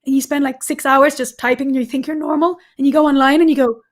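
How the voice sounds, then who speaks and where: Hoarse woman chatting on a live stream. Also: sits high, steady tone, fast. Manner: chatty, laughs often, frequent ums. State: hoarse, recovering voice. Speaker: guest developer advocate. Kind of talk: casual live stream chat.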